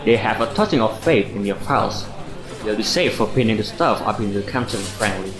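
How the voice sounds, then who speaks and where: An actor playing a laid-back, blunt, joking office colleague in a radio drama, with casual, plain-spoken delivery. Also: male voice, lowish voice, a little fast, a crowd in the background, natural voice. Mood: matter-of-fact. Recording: echoey room with music behind.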